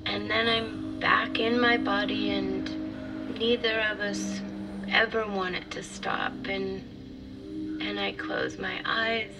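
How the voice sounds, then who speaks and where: An actress playing a wistful woman in an intimate audio drama monologue, confessional and halting, with a breathy delivery. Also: medium pitch, steady tone, over loud music, low-quality recording. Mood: sensual, absorbed. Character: wistful, intimate divorced woman confiding a fantasy.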